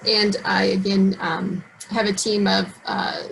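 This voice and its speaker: A woman's voice: mid-range voice, level tone, slow, creaky, fairly bright tone, a little hiss or hum, low-quality recording. A woman from Iowa asking a hesitant question on a video call, with many fillers.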